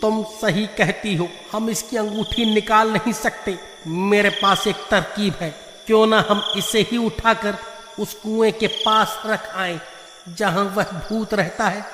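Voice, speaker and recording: A man playing an excitable, cowardly village man in a children's moral story, exclaiming theatrically. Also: high-pitched, unhurried. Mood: worried, scheming. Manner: loud calling, exclaiming, theatrical. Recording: echoey reverberant sound, faint noise.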